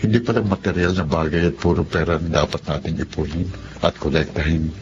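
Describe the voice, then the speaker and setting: Sincere devoted man in radio soap, real low voice, dull audio w noise. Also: steady tone, brisk. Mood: grateful, tender. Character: earnest, devoted man, grateful survivor and husband. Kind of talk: Filipino radio drama acting and narration. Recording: dull, noisy radio drama recording.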